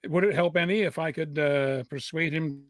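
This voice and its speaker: A male actor as a courteous, anxious attorney in a 1930s radio mystery. His voice is fairly high and recorded very dry. He speaks hesitantly and imploringly, always polite. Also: normal speed, no room sound, close to an everyday voice. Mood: hopeful, pleading. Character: earnest, anxious, courteous male lawyer pleading for help.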